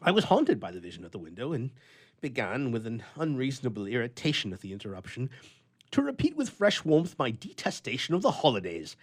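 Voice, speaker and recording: A man playing a grumpy, flustered bachelor with a soft heart, telling his tale with theatrical bluster and stammering in old-fashioned storybook prose. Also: mid-range voice, wildly swooping pitch, brisk, husky. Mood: irritated, grumbling.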